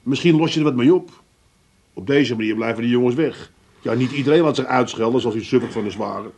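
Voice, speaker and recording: Dry, wry Amsterdam pub regular. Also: male voice, mid-range voice, quick pace, a little mellow, slightly rough. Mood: coaxing. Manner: matter-of-fact, coaxing, dry. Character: calm, wry male pub regular, mediating friend. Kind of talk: comic radio drama acting.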